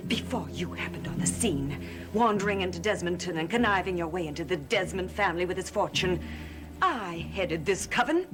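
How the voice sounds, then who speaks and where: Coven elder witch woman actor: bitter, scornful, highly animated theatrical delivery, gothic soap with music. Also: moderate pace, close to an everyday voice.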